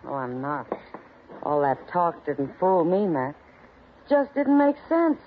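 An actress in a 1950s radio western playing a sharp, unflappable frontier woman with a dry, knowing manner. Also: low voice, sing-song, takes it slowly, a little hiss or hum, heavily acted. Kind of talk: 1950s radio drama acting. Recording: tinny old radio broadcast.

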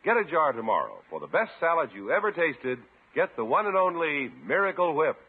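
A man's voice: fairly high pitch, sing-song, a little fast, husky, narrow, tinny audio. An American man working as a 1950s radio announcer, delivering emphatic sales talk. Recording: band-limited old radio recording.

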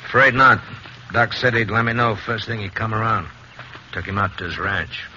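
Gruff, forceful western sheriff. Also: male voice, on the low side, normal speed. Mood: guarded, curt. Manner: terse, gruff, clipped folksy speech. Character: curt, guarded small-town sheriff man of the Old West. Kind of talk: 1950s radio western acting. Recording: muffled, faintly hissy 1950s radio recording.

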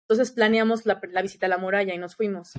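A young woman with a Mexican accent, telling a personal story as an interview guest in a chatty, animated way, often tagging lines with "you know?". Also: medium pitch, quick pace.

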